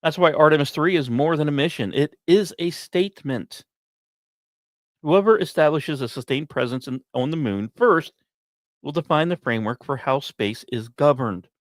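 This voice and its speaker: A man hosting a podcast, reading scripted narration in a dramatic storytelling manner with pauses and wry, casual asides. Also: fairly high pitch, no echo. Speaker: podcast host.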